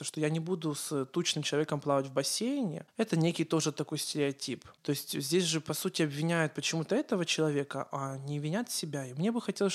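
A man from Ukraine co-hosting a casual podcast, talking in an earnest, rambling way and often correcting himself mid-sentence. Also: fairly high pitch. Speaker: podcast co-host.

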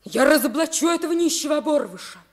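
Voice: high voice, expressive, fast talker. A performer playing a haughty, indignant young boy prince in a 1950s radio play, imperious and solemn. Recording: vintage 1950s radio recording.

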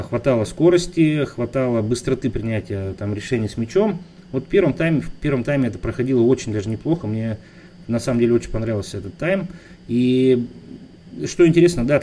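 A man's voice: medium pitch, mellow, slight background noise. A man co-hosting a football podcast, explaining tactics in a thoughtful, casual way, sometimes restarting words. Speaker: podcast co-host. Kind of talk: casual football analysis chat.

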